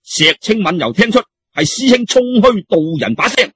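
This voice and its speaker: Male Cantonese storyteller, very raspy, higher-set voice, colloquial audiobook delivery. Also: very animated, normal speed, a bit bright, no echo. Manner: colloquial, interjecting, addresses listeners. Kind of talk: animated Cantonese audiobook storytelling of martial arts fiction.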